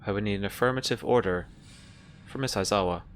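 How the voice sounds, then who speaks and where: A man voicing a ship's computer in a tabletop game, with formal, measured, obedient reporting. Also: on the low side, a little hiss or hum, lightly acted.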